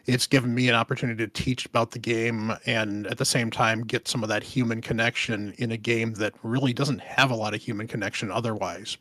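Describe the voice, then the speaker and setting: A man, a streamer on a casual call, speaking with a rough, strained voice from a damaged vocal cord, quiet and earnest. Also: no echo. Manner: low volume, careful and earnest. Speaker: streamer and content creator. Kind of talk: casual panel chat.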